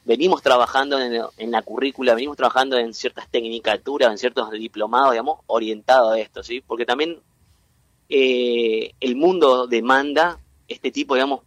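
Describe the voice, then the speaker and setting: A man from Entre Ríos in Argentina with a local accent, a guest explaining at length in an interview, halting and full of fillers and restarts. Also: medium pitch, fairly even intonation, fairly bright tone, no echo. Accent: Entre Ríos Argentine Spanish. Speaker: guest, tech cluster president.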